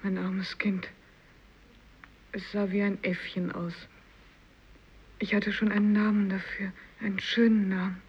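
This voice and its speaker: Eine Schauspielerin spielt in einem literarischen Hörspiel eine trauernde, vorzeitig gealterte Fischersfrau. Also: female voice, medium pitch, level tone, a little slow, pauses often, slightly rough. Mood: sorrowful. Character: bereaved fisherwoman, aged beyond her years, secretive grief. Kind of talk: 1950s literary radio play acting. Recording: dull, lo-fi radio recording.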